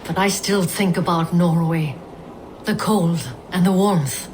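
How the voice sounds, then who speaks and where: A woman's voice: fairly low pitch, slow. An actress playing a fond, wry Norse woman in a video game cutscene, speaking with composure. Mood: wistful. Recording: clearly noisy ambient background.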